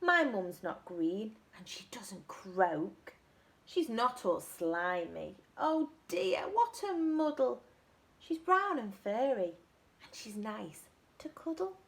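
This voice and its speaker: A woman voicing an anxious little monkey searching for its mum, reading rhymes in a sing-song way with high cries of protest. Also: very animated, takes it slowly, gravelly, heavily acted. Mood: fretful. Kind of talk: storybook read-aloud.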